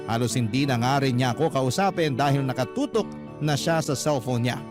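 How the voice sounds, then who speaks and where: A man's voice: a little high, lightly acted. An actor playing a sincere, wistful Filipino man confiding his love story as first-person narration in a radio drama. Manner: confiding, earnest.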